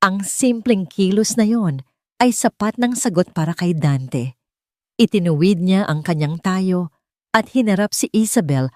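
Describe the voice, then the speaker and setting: A woman's voice: medium pitch, animated, unhurried, dry recording, clean studio sound. A woman reading a scripted, dramatic romance audiobook as its narrator.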